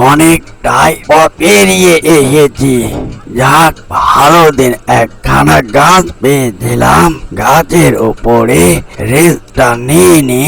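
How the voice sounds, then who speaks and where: Male actor as worn-out cartoon crow fretting over chicks, thick vocal fry, raised pitch, grumbling drawl. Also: a bit bright, exaggerated voice. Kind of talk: Bengali fairy-tale cartoon dubbing.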